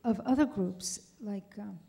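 A woman's voice: medium pitch, measured pace, gravelly, mellow. A woman in the audience asking a panel a question, hesitant and rephrasing as she goes. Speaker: audience member.

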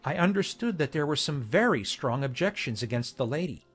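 A woman voicing a genial, formal gentleman colonel in a measured, understated way for a dramatized audiobook. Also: deep voice, big pitch swings, husky, soft background music, voice pushed down, heavily acted. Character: genial gentlemanly English colonel, adult man, lightly jesting. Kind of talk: dramatized audiobook character dialogue.

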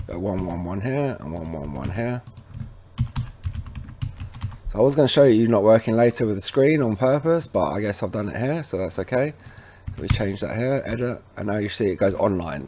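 Low-voiced man giving a casual tech tutorial. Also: darkish tone, slight background noise. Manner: informal, instructional, addresses viewers as guys. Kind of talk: casual screen-recorded software walkthrough. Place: indoors at a computer, voice over screen recording.

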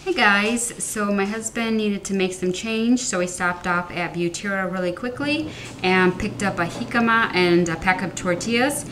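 A woman's voice: mid-range voice, normal speed, fairly bright tone, slight background noise, rough recording. An American woman narrating a casual home vlog in a conversational way, often repeating and rephrasing herself. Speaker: vlogger. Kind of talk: casual haul vlog narration over close-ups. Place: indoors at home.

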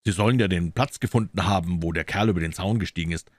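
Man as a low-voiced, commanding gentleman detective. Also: no room sound. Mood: cool, probing. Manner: emphatic, deliberate, courteous. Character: commanding, incisive gentleman detective, coolly assured.

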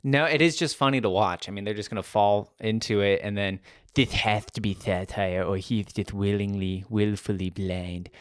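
A man's voice: fairly low pitch, normal speed, clear, resonant tone, no echo. Man, podcast co-host, joking banter, dry close sound. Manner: joking, laughs often. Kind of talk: casual comedic chat.

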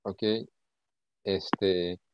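A man teaching an informal online lesson, speaking haltingly and often repeating his words. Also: sits low, little pitch movement, clean tone. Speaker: English teacher. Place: indoor video call. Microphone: video call. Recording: dull, lo-fi call audio.

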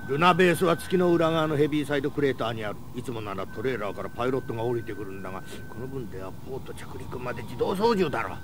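A man's voice: medium pitch, rapid-fire, hardly any pauses, background noise, close to an everyday voice. An actor playing a fussy, easily flustered male scientist in a radio drama. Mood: stern explaining. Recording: cassette audio drama with music bed.

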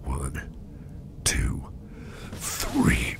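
A man playing a caring, protective boss in a whispered ASMR roleplay, speaking softly and haltingly to soothe the listener. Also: steady tone, heavily acted. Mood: gentle concern. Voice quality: whispery, very deep, gravelly. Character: caring, reassuring male boss, gentle and protective. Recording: noisy ambient background noise.

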